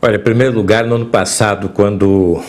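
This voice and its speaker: A man's voice: fairly low pitch, steady tone, brisk. A man from southern Brazil with a Brazilian Portuguese accent, replying in an interview as an incoming association president. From Rio Grande do Sul, Brazil.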